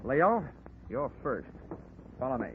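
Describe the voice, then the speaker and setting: A man's voice: medium pitch, big pitch swings, takes it slowly, heavy background noise. Sardonic hard-boiled radio detective man, tinny recording. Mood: cool, challenging. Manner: sardonic, clipped, slangy. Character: wisecracking hard-boiled private insurance investigator, cynical man. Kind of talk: 1940s radio detective acting and narration. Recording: muffled 1940s radio broadcast, music.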